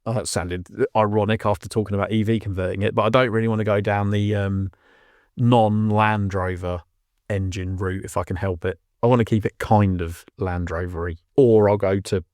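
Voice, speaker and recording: An English man with a fairly low voice hosts a casual podcast. He talks in a keen, bantering way, and the sound is very dry, with hardly any room. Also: steady tone, moderate pace, darkish tone, no echo. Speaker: podcast host. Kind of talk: casual chat.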